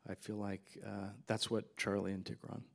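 Gravelly man telling stories on stage. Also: on the low side, normal speed. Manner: relaxed, rambling anecdotes with fillers and laughs. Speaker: filmmaker guest. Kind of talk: festival Q&A storytelling. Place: festival stage in front of a backdrop. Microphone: handheld mic.

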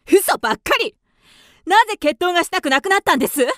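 A woman playing a proud, fiery and fickle widow in a theatrical radio drama, sharp-tongued yet formal. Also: very high-pitched, very animated, fairly quick, dry recording, studio quality, full character voice. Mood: scornful challenge. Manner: haughty, sharp-tongued, formal feminine speech.

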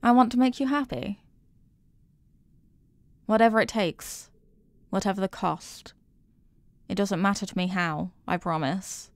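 A woman's voice: medium pitch, measured pace, long pauses, no echo, close to an everyday voice. A woman playing a doting, intensely possessive girlfriend in an intimate ASMR roleplay, cooing tenderly with pet names. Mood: caring, earnest.

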